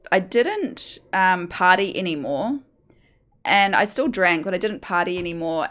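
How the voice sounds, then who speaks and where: A woman's voice: on the low side, a bit bright, clean tone. A woman in her forties from New Zealand with a New Zealand accent, hosting a podcast and telling her own story in a candid, chatty, self-mocking way. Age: 40s. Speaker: podcast host, hypnotherapist. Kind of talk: personal storytelling monologue.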